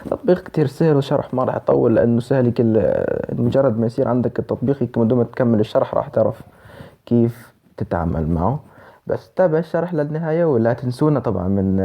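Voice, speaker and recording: A man's voice: fairly low pitch, vocal fry, dull audio. A man with a Levantine Arabic accent presenting a casual app tutorial, explaining informally step by step. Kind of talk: casual spoken app tutorial for screen reader users.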